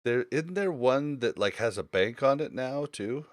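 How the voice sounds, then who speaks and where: A man hosting a casual gaming podcast, talking informally and thinking aloud in halting phrases. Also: medium pitch, animated, no echo, studio quality. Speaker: podcast host.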